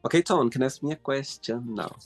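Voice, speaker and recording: A man's voice: mid-range voice, fast, soft background music. A man with a Brazilian accent hosting a casual interview, effusive and full of "you know" fillers. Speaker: host.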